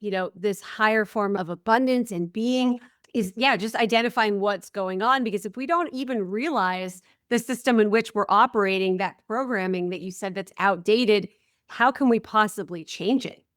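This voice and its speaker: A woman's voice: medium pitch, normal speed, fairly bright tone, dry recording, clean studio sound. A woman from the United States hosting a podcast interview, speaking in an affirming, enthusiastic way. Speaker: podcast host. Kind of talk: interview host.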